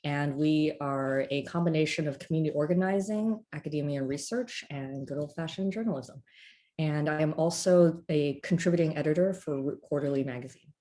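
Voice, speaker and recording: Woman from California, very low mellow voice, composed guest. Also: brisk. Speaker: panel guest, journalism coordinator. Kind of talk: casual panel talk.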